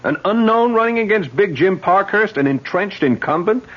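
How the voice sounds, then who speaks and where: An actor playing a brash, persuasive campaign manager in a 1970s radio drama, talking fast and teasing. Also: male voice, high voice, animated, highs cut off. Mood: pushy.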